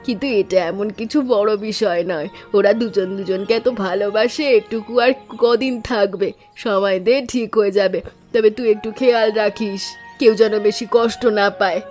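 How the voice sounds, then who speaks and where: A woman voicing a caring, worried village aunt in an animated story, speaking tenderly and in a measured, homely way. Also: medium pitch, soft background music, natural voice. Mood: gently advising.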